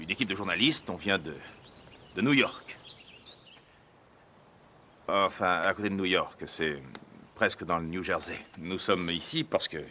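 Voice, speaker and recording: A man's voice: deep voice, bright, forceful tone, a little hiss or hum. A man playing a television journalist who talks in a chummy, persuasive way.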